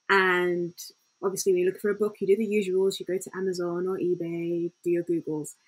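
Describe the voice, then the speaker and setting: A woman's voice: lowish voice, breathy edge, poor audio. A young woman telling her story in a casual, informal way as a panel guest, on a headset at home. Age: young adult. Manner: informal, peppered with "like". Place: small home room, indoors. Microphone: headset on video call.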